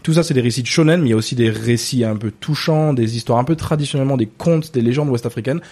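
A man from Ghana whose first language is English, speaking as a podcast guest about his work as a manga artist. He talks thoughtfully and often pauses to search for words. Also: medium pitch, darkish tone, slightly rough. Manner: thoughtful, with frequent hesitations. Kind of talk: casual podcast interview.

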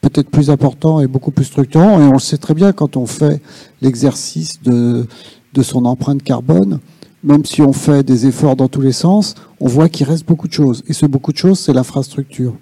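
A man speaking off the cuff at a public discussion, halting and reflective as he searches for his words. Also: mid-range voice, warm tone, dry recording. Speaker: audience participant in a policy workshop. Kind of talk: spontaneous spoken remarks at a public discussion.

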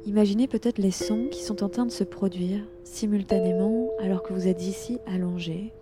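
A woman guiding a yoga nidra relaxation, speaking gently and soothingly in unhurried instructions with long pauses. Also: mid-range voice, steady tone. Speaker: yoga nidra meditation guide. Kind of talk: guided relaxation meditation. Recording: loud meditation music under the voice. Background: loud instrumental relaxation music.

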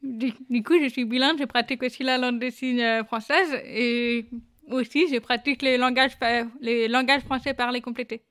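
A woman's voice: a little high, fairly even intonation, normal speed, fairly bright tone. A young woman answering interview questions at a job fair, plain and earnest, with frequent hesitations. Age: young adult. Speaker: guest, deaf employment adviser. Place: quiet corner at a busy job fair.

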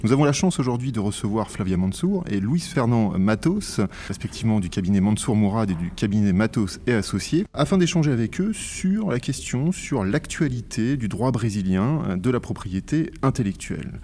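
A man hosting a podcast interview, asking polite, measured questions, recorded at a convention center. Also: lowish voice, expressive, warm tone, slight background noise. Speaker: podcast host.

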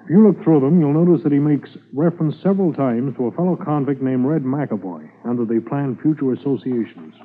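Steady Mountie sergeant, vintage radio. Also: male voice, mid-range voice, brisk, hardly any pauses, slightly rough. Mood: grimly determined. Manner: clipped, matter-of-fact. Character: male Mountie sergeant, steady, dogged, respectful investigator. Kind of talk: 1950s radio drama acting. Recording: dull narrow-band old radio recording.

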